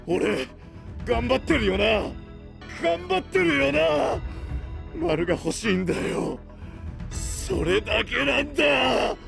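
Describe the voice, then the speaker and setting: An actor playing a possessed young would-be knight, rough and brusque, desperate for approval, in an anime-style audio drama. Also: male voice, very high-pitched, very animated, takes it slowly, a bit bright, soft background music, full character voice. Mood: desperate, enraged. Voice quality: gravelly. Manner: rough, brusque masculine speech.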